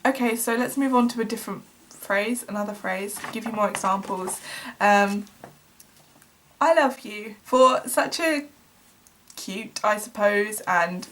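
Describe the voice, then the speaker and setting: A young woman chats straight to the camera in a casual vlog, enthusiastic and a little searching for words. She fills pauses with ums and restarts her sentences. Her voice sits at an ordinary middle pitch. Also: fairly bright tone, slight background noise, poor audio. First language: English. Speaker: vlogger.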